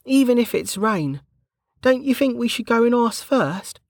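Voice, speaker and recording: A woman's voice: a little high, animated, measured pace, darkish tone, dry recording, studio quality, pitched above the performer's own voice. A narrator voicing Bregger, a dutiful and anxious female guard who speaks carefully and earnestly.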